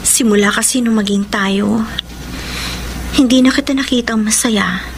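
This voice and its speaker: A woman's voice: on the high side, normal speed, background noise, close to an everyday voice. A woman acting an earnest, worried young girlfriend in a radio drama, sincere and emotive as she mixes Tagalog and English. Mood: tender, concerned. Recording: radio drama with music underneath. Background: dramatic background music.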